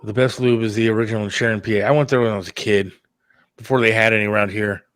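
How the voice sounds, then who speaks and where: A man's voice: on the low side, steady tone, rapid-fire, a bit bright, clean tone, no room sound. Casual man with a dry, close sound. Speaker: radio producer and talk show host. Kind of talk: casual chat about an upcoming radio show.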